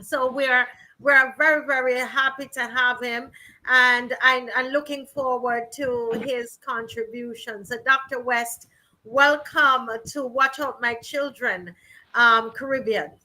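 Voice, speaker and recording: A woman's voice: sits high, steady tone, takes it slowly, bright, forceful tone, poor audio. A middle-aged woman from Barbados, hosting a virtual rally in a chatty way with many ums and restarts. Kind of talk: casual hosting and introductions.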